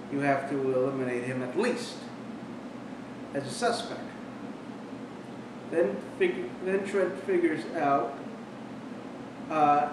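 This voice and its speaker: Guy rambling through an episode review, slow, far off the mic in a super echoey room with rumbly noise. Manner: rambling, retelling a plot with restarts.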